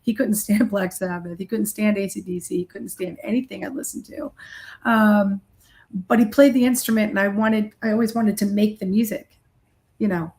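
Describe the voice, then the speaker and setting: A middle-aged woman with a mid-pitched voice speaks as a guest in a casual interview. She is candid and emphatic, talking in a loose, conversational way like a musician telling her own story. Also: steady tone, normal speed, darkish tone. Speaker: guest, rock guitarist and recording artist.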